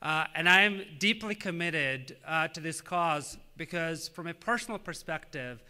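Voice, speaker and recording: A man in his thirties from Tanzania, a native Swahili speaker, giving an earnest, measured public speech with frequent "uh" fillers. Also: a little high, sharp tone, clear, resonant tone. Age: 30s. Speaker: government official giving a speech.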